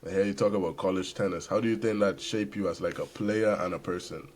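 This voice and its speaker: A man's voice: low voice, fast, darkish tone, a little hiss or hum. A man from the Caribbean hosting a podcast, greeting casually and asking questions in a friendly, slangy way. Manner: friendly, laid-back slang. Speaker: podcast host. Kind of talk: casual interview chat.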